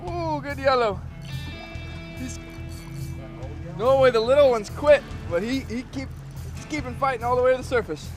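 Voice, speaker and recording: A man on a boat offshore, calling out what is happening while fishing in an excited way. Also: extremely high pitch. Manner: excited, quick remarks. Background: background music.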